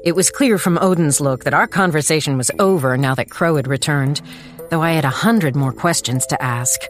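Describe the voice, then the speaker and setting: A woman's voice: sits low, normal speed, soft background music, close to an everyday voice. A woman playing a determined, wry small-town police chief who narrates a dramatized audiobook in a firm, dry way. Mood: dryly baffled. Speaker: narrator and lead character.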